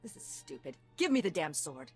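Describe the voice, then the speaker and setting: An actress playing a defiant, hard-bitten hired assassin held prisoner in a video game, tough and bitter. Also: female voice, sits low, very animated, normal speed, darkish tone. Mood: defiant, angry. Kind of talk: scripted video game dialogue. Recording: music behind the voice.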